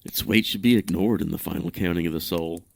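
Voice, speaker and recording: A man's voice: deep voice, a little fast, vocal fry, no echo. An actor playing a guilt-ridden, self-condemning man in an audio drama, speaking in a formal, brooding way. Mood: bitter resolve.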